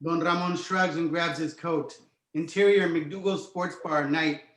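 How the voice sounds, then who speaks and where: A man's voice: on the high side, steady tone, a little slow, a bit bright. A man reading stage directions as scripted narration for a screenplay table read. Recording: roomy, echoey home recording.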